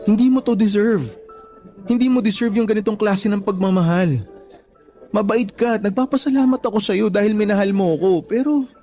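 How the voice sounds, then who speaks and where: Actor for troubled young boyfriend in a radio romance; higher male voice, earnest, apologetic, full of self-doubt. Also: darkish tone, natural voice. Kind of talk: Tagalog radio drama acting.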